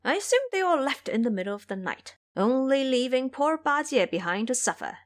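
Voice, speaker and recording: A woman acts out a wry monkey hero for an audio drama. He sounds amused and sure of himself, teasing with lively intonation. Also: sits high, brisk, voice pushed up. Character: wry, teasing male monkey disciple, confident trickster.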